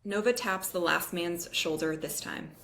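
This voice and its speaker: A woman's voice: on the low side, a little slow, creaky, a bit bright, a little hiss or hum. A woman reading screenplay stage directions as narrator in scripted table-read style.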